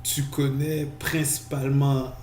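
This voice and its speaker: A man from Quebec with a Quebec French accent, co-hosting a podcast in casual talk, halting and restarting his sentences often. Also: medium pitch, level tone, darkish tone. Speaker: podcast co-host. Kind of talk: casual discussion. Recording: echoey room, faint noise.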